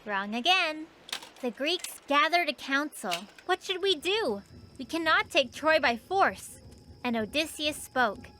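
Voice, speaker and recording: A woman voicing a patient, knowing young girl who tells stories in an explanatory way in an animated children's series. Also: high voice, measured pace, full character voice. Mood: dramatic storytelling. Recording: music behind the voice.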